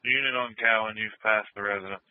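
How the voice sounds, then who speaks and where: A man's voice: on the low side, steady tone, a little fast, no echo, phone-quality sound. A man working as a police radio dispatcher, giving terse procedural updates and repeating road names over a scanner. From United States. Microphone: radio dispatch microphone.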